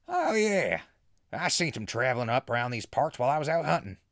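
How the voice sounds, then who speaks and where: An eccentric, friendly hermit of the wasteland, acted by a man, drawls in chummy backwoods phrases. The voice is gravelly and very animated, recorded dry, as in a comic audio drama. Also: mid-range voice, brisk, no room sound. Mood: folksy, sure of himself. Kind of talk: comic post-apocalyptic audio drama acting.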